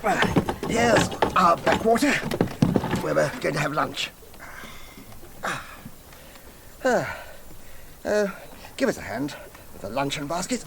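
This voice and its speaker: An actor playing a jovial, dreamy, gentlemanly water rat who relishes every word in a radio play. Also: male voice, on the high side, animated, unhurried, creaky. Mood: breezy, genial. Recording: noisy old radio broadcast tape.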